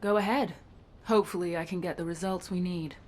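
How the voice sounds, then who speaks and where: A woman's voice: on the low side, steady tone, a little fast, darkish tone, lightly acted. Woman acting determined, terse cyborg gunfighter. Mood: resolute. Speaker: voice actor in a video game. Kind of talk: game cutscene dialogue.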